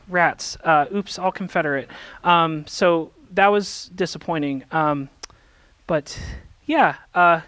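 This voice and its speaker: A man with a fairly high voice talks a congregation through his slides. He is casual and halting, full of fillers, and the sound is close and dry. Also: pushed and bright, dry recording. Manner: halting, many fillers and restarts. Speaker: resident minister presenting. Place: church meeting room. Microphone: handheld microphone.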